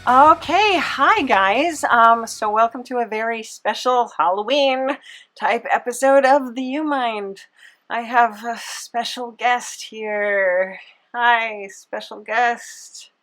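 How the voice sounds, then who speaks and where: A woman hosting a podcast in casual, playful banter with a guest, halting and giggly. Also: fairly high pitch, fairly bright tone. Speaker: podcast host.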